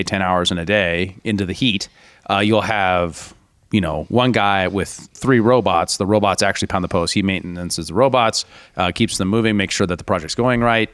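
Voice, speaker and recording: A man's voice: fairly low pitch, dry recording. A man co-hosting a casual political podcast, explaining points at length and mocking opposing views with a raised voice. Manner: explanatory, argues in long runs, mocks opponents in raised voice. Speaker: podcast co-host.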